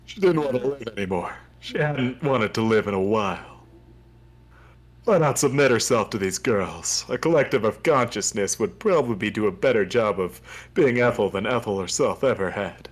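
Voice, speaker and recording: Husky man with an expressive, theatrical delivery. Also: on the low side, moderate pace. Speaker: streamer reading a visual novel aloud. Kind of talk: dramatic read-aloud of game narration mixed with joking chat.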